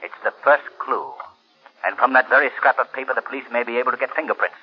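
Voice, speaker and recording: An actor playing a mysterious invisible crime fighter in 1930s radio style, calm, measured and commanding. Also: male voice, medium pitch, breathy edge, faint music behind, natural voice. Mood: firm, reassuring. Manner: measured, deliberate, authoritative. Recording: muffled old 1930s radio recording.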